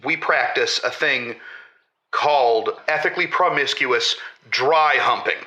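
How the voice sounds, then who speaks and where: A man's voice: mid-range voice, a little slow, highs cut off. A man playing an earnest, nerdy club enthusiast calling a radio show in a comedy audio drama, his voice echoing. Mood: earnest, proud. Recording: echoing, sharp-sounding caller audio.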